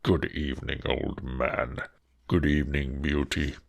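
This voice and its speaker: A man voicing a fearsome beast who speaks gravely and slowly, with a deep, gravelly voice. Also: level tone, dry recording, studio quality, full character voice.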